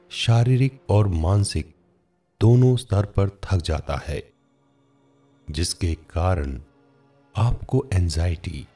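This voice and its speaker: A man narrating a scripted guided meditation in a measured, instructional manner with frequent pauses. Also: deep voice, dark tone, husky.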